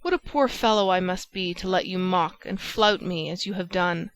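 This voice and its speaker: A woman's voice: on the low side, moderate pace, natural voice. A woman reading the part of an impassioned, jealous young man who hurls angry accusations. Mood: bitter reproach.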